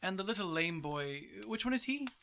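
An actor playing a cheerful, warm-hearted gentleman, earnest and pleading, in a theatrical radio play. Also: sits high, expressive, a little fast, clean tone. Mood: friendly. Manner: earnest, hesitant interjections.

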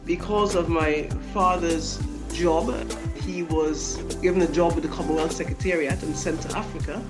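Woman, middle-aged, surgeon as podcast guest, measured explanatory delivery, faint music. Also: low voice, fairly even intonation. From born in Guyana, schooled in England. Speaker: interview guest, consultant surgeon. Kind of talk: measured career account in an interview.

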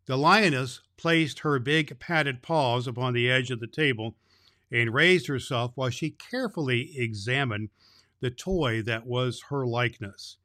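A man's voice: medium pitch, a little slow, bright, forceful tone. A man playing a kindly old Santa Claus who hosts a children's Christmas show, speaking cheerfully and emphatically in a folksy, fatherly way. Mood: gentle, storytelling. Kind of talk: storytelling and chat addressed to children.

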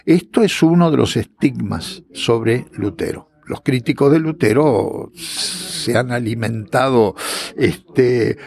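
A middle-aged man, answering interview questions as a historian in a lecturing way, often pausing on filler words. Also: mid-range voice, lively intonation, gravelly, darkish tone. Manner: lecturing, with frequent "este" and "eh" fillers and restarts.

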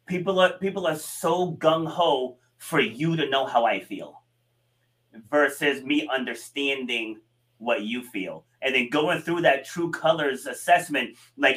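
A man in his forties telling stories in a relaxed podcast conversation, laughing easily. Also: medium pitch, rough recording. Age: 40s. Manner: storytelling, animated, laughs easily. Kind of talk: casual conversation.

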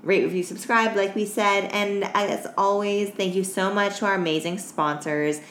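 A woman's voice: medium pitch, steady tone, normal speed, a bit bright. A tired woman co-hosting a casual podcast, chatting in a candid, informal, jokey way. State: tired after staying up all night. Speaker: podcast co-host. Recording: roomy echo, clear background noise.

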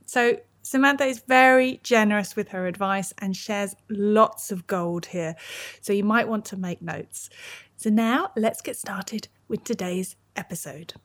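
A woman speaks as a podcast host with a mid-pitched voice. She presents clearly and in a measured way, in the style of a friendly introduction and interview. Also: fairly bright tone.

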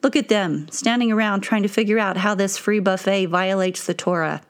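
A woman's voice: mid-range voice, normal speed, natural voice. A woman voicing a sarcastic male disciple who mutters wry remarks.

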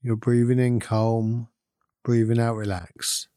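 A man leading a guided meditation in a calm, soothing, unhurried manner with long pauses between phrases. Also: medium pitch, smooth, no echo, studio quality. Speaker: meditation guide and podcast host. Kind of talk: guided meditation, gentle instruction.

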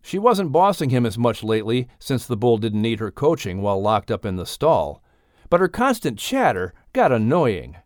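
A man's voice: medium pitch, very animated, normal speed, dry recording, studio quality. A man reading a children's story aloud as a scripted audiobook narrator.

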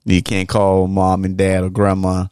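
American male co-host, low-pitched, agreeable and casual, quick to interject and question, dry recording. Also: completely flat delivery, darkish tone, clean tone, no room sound. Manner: agreeable, interjecting with short affirmations. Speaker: podcast co-host. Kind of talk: casual chat, reacting and questioning.

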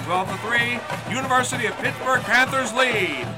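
Old-timey 1920s football radio announcer, really high voice, excitable, music behind him. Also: male voice, moderate pace. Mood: brisk, keen. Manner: emphatic, colourful period slang. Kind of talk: 1920s radio sports commentary.

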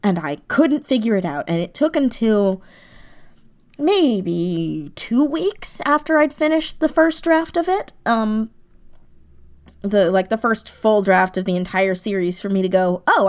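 A woman chatting casually as a podcast guest, an animated, effusive storyteller talking about her writing. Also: medium pitch, a little slow. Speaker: podcast guest, audio drama writer. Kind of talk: casual interview chat.

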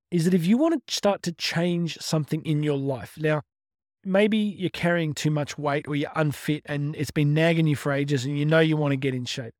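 A man, giving an energetic, conversational motivational podcast talk on his own and often restarting his words. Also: a little high, no room sound, studio quality. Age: 50s. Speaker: motivational speaker. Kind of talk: solo motivational podcast monologue.

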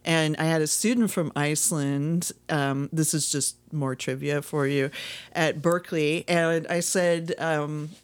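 A woman with a low, smooth, clear voice chats casually and shares bits of trivia. She tells anecdotes in an easy, conversational manner, like a teacher swapping stories with a friend. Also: steady tone, a little slow. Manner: chatty, anecdotal. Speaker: co-host, music teacher. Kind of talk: casual chat, sharing trivia.

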